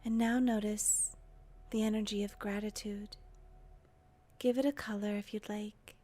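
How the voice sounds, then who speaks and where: A woman leading a guided meditation in a gentle, breathy voice with long, unhurried pauses. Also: mid-range voice, flat delivery. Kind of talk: guided meditation, soothing narration.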